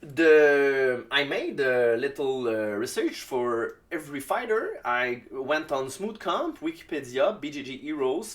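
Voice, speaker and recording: Man, Québécois French accent, native French speaker, slow, hesitant, lots of ums, casual solo commentary. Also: a little high. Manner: halting, many ums and uhs, searches for English words. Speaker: jiu-jitsu analyst.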